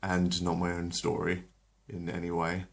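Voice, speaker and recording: A man's voice: deep voice, monotone, moderate pace, gravelly. A man hosting his channel, chatting casually with fillers and self-corrections, then reading a story aloud. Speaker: channel host and story reader.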